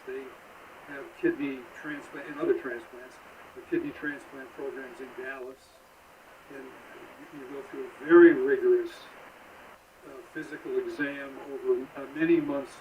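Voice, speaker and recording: A man in his seventies telling his own story as an interview guest, unscripted, halting and explanatory, over a distant radio link. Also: mid-range voice. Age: 70s. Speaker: interview guest, transplant recipient. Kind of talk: unscripted personal account.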